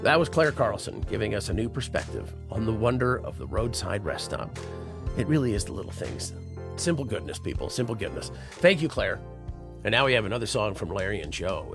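A man's voice: fairly low pitch, creaky. A man hosting a radio show, playful and jokey as he talks with guests and introduces segments.

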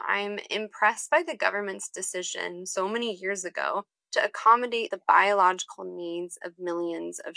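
A woman's voice: mid-range voice, gravelly, bright, forceful tone, no echo. A woman from the United States reading her own wry, heartfelt essay as scripted narration.

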